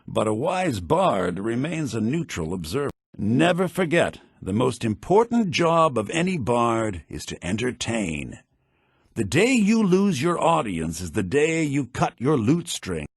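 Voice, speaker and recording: A man's voice: mid-range voice, creaky, husky, dry recording, clean studio sound, full character voice. An actor playing a smug, sly townsman in a fantasy video game, with a sardonic, self-important and theatrical delivery.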